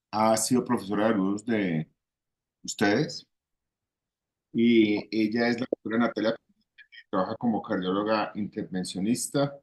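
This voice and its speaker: A man hosting a professional online session, politely and formally introducing the guest speaker. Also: mid-range voice. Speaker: host moderator.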